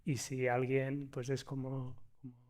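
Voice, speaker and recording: A man's voice: mid-range voice, completely flat delivery, unhurried, a little mellow. A man speaking as a panelist, insistent and repeating himself when he is pushed back. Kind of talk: panel discussion.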